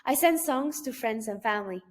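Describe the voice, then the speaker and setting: A woman's voice: fairly high pitch, lively intonation, fairly quick. A young woman from Mexico, a Spanish speaker with a Mexican accent, reading a class presentation in careful, list-like phrases. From Mexico (Guadalajara). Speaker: student.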